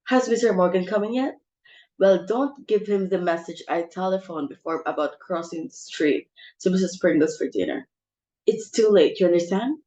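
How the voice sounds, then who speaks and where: A woman's voice: on the low side, normal speed, dark tone, lightly acted, rough recording. A woman playing a bossy, social-climbing society mother with theatrical exclamations in an amateur stage comedy reading. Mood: brisk, bossy.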